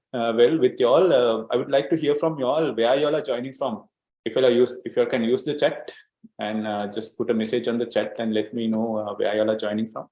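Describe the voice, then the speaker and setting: Man in his 40s from Sri Lanka, webinar trainer, mid pitch, filler-heavy explaining, roomy echo on the call. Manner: steady explaining, frequent "um" and "uh" fillers, repeats words. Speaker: agile coach leading a webinar. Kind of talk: online training webinar presentation.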